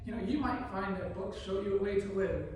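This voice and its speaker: A woman's voice: mid-range voice, brisk, a little mellow, lightly acted. Stern, exasperated female teacher for a stage play, played by a woman: firm, curt, far from mic, heavy echo. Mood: firm lecturing. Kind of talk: one-act stage play acting. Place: live stage performance, recorded from afar. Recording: very echoey room, distant mic, faint noise.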